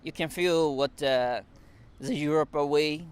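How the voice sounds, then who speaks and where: Man with a Chinese accent, halting English. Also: fairly high pitch, clean tone. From China. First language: Mandarin. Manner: halting non-native English with frequent fillers and restarts; chuckles now and then. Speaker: interview guest. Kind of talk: casual interview.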